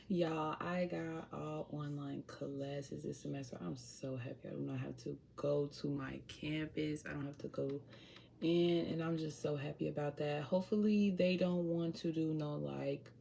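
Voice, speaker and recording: A woman's voice: low-pitched, gravelly, mellow, slight background noise. A young woman from Chicago with a Chicago accent, chatting casually and laid-back to her viewers at home. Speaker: vlogger. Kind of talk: casual chat to camera.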